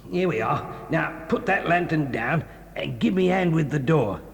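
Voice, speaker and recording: A gruff, gravelly crook from an audio drama. His voice swings up and down, sitting higher than most men's. A long reverb hangs around him. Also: animated, moderate pace. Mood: scheming. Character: gruff male kidnapper, scheming and bewildered. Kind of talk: 1980s audio drama acting.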